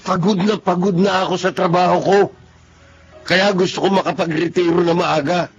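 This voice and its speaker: A man playing an irritable, defensive middle-aged husband and father in a Filipino radio drama, gruff and insistent. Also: high voice, steady tone, normal speed. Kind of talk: Filipino radio melodrama acting. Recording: dull lo-fi audio with music.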